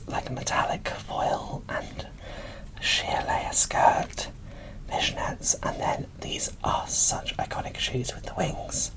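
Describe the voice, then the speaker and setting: Female ASMR presenter, fully whispered and breathy, enthusiastic and gushing in a show-and-tell delivery. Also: rough recording. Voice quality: soft, breathy unvoiced whisper. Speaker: host of an ASMR doll unboxing channel.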